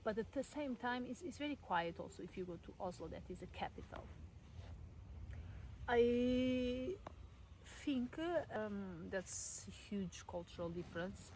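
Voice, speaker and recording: A woman's voice: medium pitch, lively intonation, unhurried, a little hiss or hum, rough recording. Portuguese-accented woman chatting casually outdoors. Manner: chatty with frequent fillers and soft laughs. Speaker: vlogger. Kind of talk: casual talk to camera. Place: garden at home in the countryside, outdoors.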